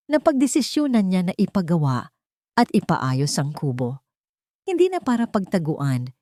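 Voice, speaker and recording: A woman with a Filipino accent narrating a scripted dramatic story in a measured, emotive way. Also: low voice, darkish tone, no echo, clean studio sound.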